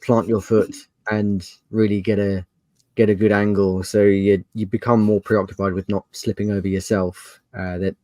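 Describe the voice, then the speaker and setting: A man's voice: lowish voice, flat delivery, moderate pace, mellow, no room sound. A man narrating an improvised tabletop game as game master, conversational and descriptive.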